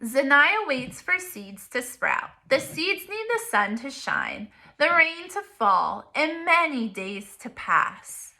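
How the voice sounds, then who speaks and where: A woman reads a children's picture book aloud as a teacher would. Her voice is fairly high and bright, and she takes her time, pausing to explain things in simple, deliberate terms for young listeners. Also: very animated, poor audio. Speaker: elementary teacher reading aloud.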